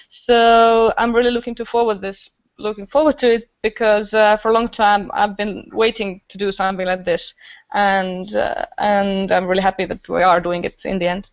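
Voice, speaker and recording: A woman's voice: on the high side, smooth, no room sound, highs cut off. A woman with an Estonian accent chatting casually as a guest on a panel podcast.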